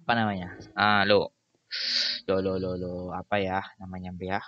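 Low-voiced young man, dull recording. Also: level tone, normal speed, dry recording. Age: young adult. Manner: informal, slangy, repeats phrases. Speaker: YouTuber reviewing channel earnings. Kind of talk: casual chatty screen-recording commentary. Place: small room indoors at a computer. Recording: dull, muffled lo-fi sound.

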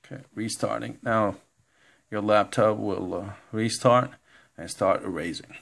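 A man giving an informal step-by-step computer tutorial in a halting way, reading out text as he goes. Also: on the low side, vocal fry, fairly bright tone. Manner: halting, instructional, reads out on-screen text. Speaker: tutorial presenter. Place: indoor room, filming a laptop screen.

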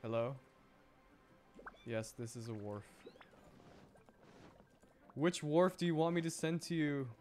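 A man's voice: on the high side, takes it slowly, long pauses, a little mellow, faint music behind. A man doing casual live gameplay commentary as a streamer, talking to himself in exasperated questions. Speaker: game streamer.

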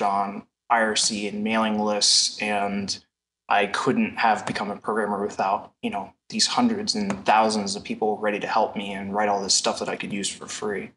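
A man's voice: fairly low pitch, fairly bright tone, low-quality recording. A man giving casual, explanatory answers as a programmer guest in a technical interview.

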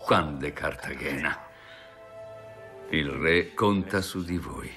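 An actor playing a scheming older male bishop who advises the king, speaking in measured, persuasive courtly tones in a dubbed docudrama. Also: very deep voice, pushed and bright, heavily acted. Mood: commanding. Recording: music under the voice.

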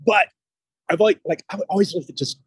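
Chatty 50s guy podcasting off his phone, husky voice, kinda high. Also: fairly quick, dry recording, studio quality. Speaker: podcast host. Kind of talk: casual chat. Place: walking outside and into a studio building. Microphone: phone held at arm's length on a video call.

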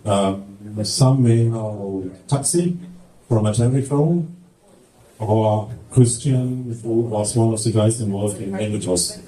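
A middle-aged German-accented man gives a slow, hesitant slide talk in a conference hall. His voice is low and very dark, and it rings in a roomy, echoey space a little off the mic. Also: far from the mic. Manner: hesitant, halting non-native English with many fillers. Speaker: conference presenter. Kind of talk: presenting slides at a tech talk. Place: large conference hall with projector. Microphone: handheld mic.

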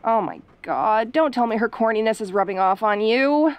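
A woman acts out a tsundere tomboy in an audio roleplay. She is sassy and easily flustered, talking casually and defensively, with sarcasm that covers her softer side. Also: fairly high pitch, expressive, moderate pace, lightly acted. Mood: embarrassed, sassy. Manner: casual, defensive, sarcastic, hesitant when sentimental.

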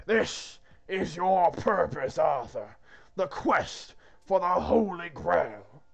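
A man acting out God in a comic script, loud and impatient. The delivery is grand and declamatory, with a lively, swooping pitch and weighty, commanding phrases. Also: slow pace, creaky, heavily acted. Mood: solemn, grand. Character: booming, irritable God handing down a sacred quest.

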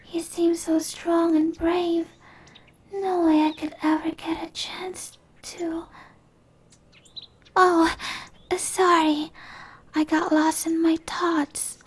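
A woman playing a shy, gentle dryad monster in an ASMR roleplay, in a soft breathy whisper with hesitant, stammering, apologetic delivery. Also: extremely high pitch, little pitch movement, slow pace, long pauses. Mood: dreamy, admiring.

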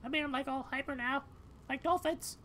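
A woman's voice: high-pitched, steady tone, brisk, a bit bright, slight background noise. A woman voicing a tired, sarcastic young female cat in a casual, rambling way for a game. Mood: hyper, rambling. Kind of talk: fan voice-over of game dialogue.

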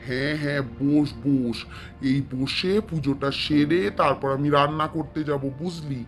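A man's voice: on the high side, level tone, measured pace, soft background music, close to an everyday voice. A man voicing a devout middle-aged mother in a cartoon, fussing and explaining in a homely way. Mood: uneasy.

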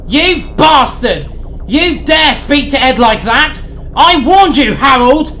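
A woman's voice: a little high, animated, a little slow, a bit bright, slight background noise, poor audio. Woman doing a raging male engine. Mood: enraged. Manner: screaming threats. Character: furious male engine, explosive, vengeful.

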